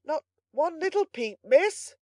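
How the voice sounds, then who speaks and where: A woman's voice: on the low side, sing-song, normal speed, a little mellow, no room sound, studio quality. Woman voicing a pompous old male servant. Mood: proud complacency. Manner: pompous, blustering. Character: pompous, self-important old male servant, excitable and boastful. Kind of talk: audiobook character voice.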